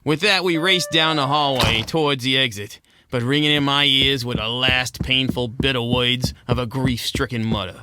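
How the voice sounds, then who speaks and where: A man's voice: mid-range voice, normal speed, noisy background, close to an everyday voice. A man playing a hard-boiled penguin private eye, narrating a noir radio drama in wisecracking slang. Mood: world-weary.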